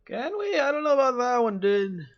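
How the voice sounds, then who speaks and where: A man's voice: extremely high pitch, very animated, moderate pace, lo-fi sound. A young man doing casual, wry and reactive commentary as he plays a horror game. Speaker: gamer playing a horror visual novel. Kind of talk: casual let's play commentary.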